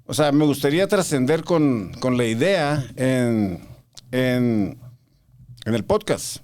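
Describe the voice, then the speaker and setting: Male podcast host, smooth clear tone, conversational and probing. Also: mid-range voice, lively intonation, slow, a little mellow. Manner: probing, interrupts to challenge. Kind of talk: casual conversational interview.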